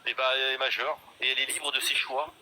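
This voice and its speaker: A man's voice: medium pitch, level tone, fast, pushed and bright, a little hiss or hum, rough recording. A man in his fifties in a casual live chat, boastful and rambling, full of hesitations. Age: 50s. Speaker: guest in a live stream chat.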